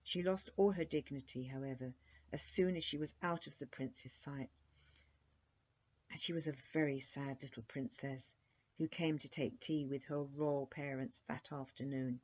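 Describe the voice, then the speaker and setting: A woman reading a children's bedtime story aloud as an expressive narrator. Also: very deep voice, moderate pace. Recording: dull lo-fi recording.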